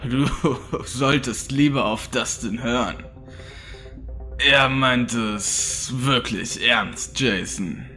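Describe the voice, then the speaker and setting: Slow, sharp-voiced menacing thug, acted by a man. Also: medium pitch, soft background music. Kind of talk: audio drama acting.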